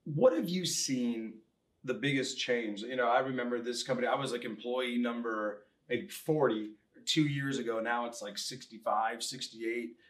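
A man's voice: mid-range voice, moderate pace. A man co-hosting a casual interview podcast, chatting informally with jokey asides. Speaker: podcast co-host.

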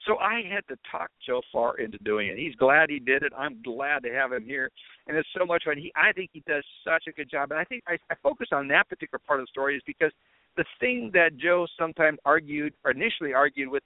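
A man's voice: fairly high pitch, no echo. A middle-aged man hosting a podcast, telling stories in a rambling, conversational way. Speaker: podcast host. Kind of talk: casual monologue. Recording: tinny phone-like dry audio.

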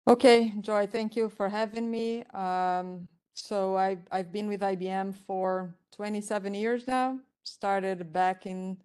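A woman from Brazil speaks slowly on a podcast in a clear, smooth voice. Her delivery is measured, with pauses and "um"s between phrases. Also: medium pitch, steady tone, darkish tone. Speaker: podcast guest.

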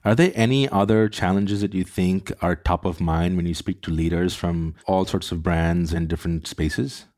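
A man's voice: sits low, normal speed, darkish tone. A man hosting a podcast, asking questions in a conversational interview style.

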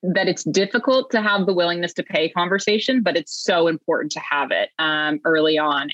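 A woman with a very low voice hosts a podcast in a relaxed, conversational way, summing up points and asking questions. The sound is dry and a little dull. There is no room echo. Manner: conversational, recapping and asking with "right?". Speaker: podcast host.

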